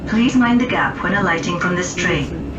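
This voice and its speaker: A woman giving formal recorded railway passenger announcements in measured, segmented phrases, heard over a train's public address. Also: lowish voice, lively intonation, recorded from a distance. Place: aboard a moving passenger train. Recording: muffled, noisy, echoey train PA captured from afar. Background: loud train and rail noise.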